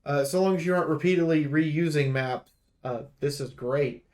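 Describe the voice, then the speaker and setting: A man's voice: on the high side, normal speed, a little mellow. A man giving casual, opinionated commentary on a game preview, speaking bluntly and with emphasis. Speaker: game reviewer and streamer.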